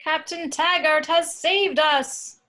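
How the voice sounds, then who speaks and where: A woman's voice: high-pitched, fairly even intonation, takes it slowly, crowd noise. A woman playing an eager, helpful science-fiction character in an amateur script read. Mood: grateful, cheering. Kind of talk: amateur screenplay table read.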